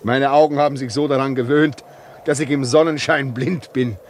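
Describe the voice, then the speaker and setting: A male actor playing a lonely, fearful and suspicious railway signalman in a German radio play, brooding and halting with sudden intensity. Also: mid-range voice, fairly even intonation, slight background noise, close to an everyday voice. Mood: lonely, confiding.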